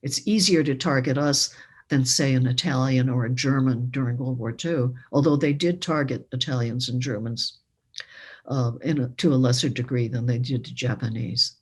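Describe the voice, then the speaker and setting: A woman answering as a panel speaker, reflective, halting and emphatic. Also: a bass voice, darkish tone, husky. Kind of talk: reflective answer to a moderator's question.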